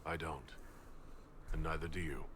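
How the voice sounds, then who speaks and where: Level-headed game hero leader, really deep voice, plain and firm, noisy background. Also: male voice, fairly even intonation, normal speed. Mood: reasoning. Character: firm, level-headed male commander, blunt but fair.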